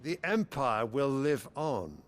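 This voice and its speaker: A man playing a cold, imperious older emperor in a video game drama, speaking in a stately, commanding, measured way. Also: mid-range voice, sing-song. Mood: coldly assured. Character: cold, imperious older emperor, ruthless toward his subjects.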